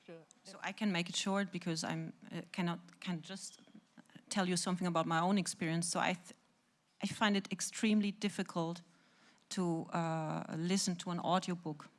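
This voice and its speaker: A woman's voice: fairly low pitch, steady tone, normal speed. A native German-speaking woman with a German accent, talking spontaneously as an academic on a discussion panel.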